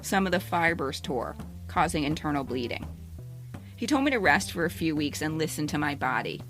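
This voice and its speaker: A woman's voice: low voice, expressive, moderate pace, music in the background. A woman in her fifties, a podcast co-host, speaking in a candid, direct way as a personal storyteller. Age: 50s. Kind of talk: scripted personal essay narration, then casual banter.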